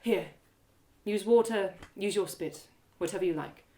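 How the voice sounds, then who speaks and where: A woman voicing a fierce, guarded, hot-tempered warrior woman in an audio drama, with curt, gruff delivery. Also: mid-range voice, measured pace, mellow, lightly acted. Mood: halting, subdued. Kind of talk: one-woman audio drama character acting.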